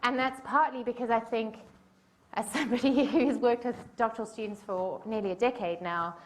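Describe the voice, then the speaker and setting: A woman's voice: fairly high pitch, normal speed. A woman in her forties with a South African accent gives a conference talk in a chatty, self-deprecating way, with plenty of fillers. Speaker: conference speaker. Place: conference room.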